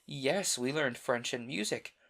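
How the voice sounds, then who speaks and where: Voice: mid-range voice, expressive, unhurried, fairly bright tone. A reader voicing Alice, a polite, curious young girl, in a storybook reading.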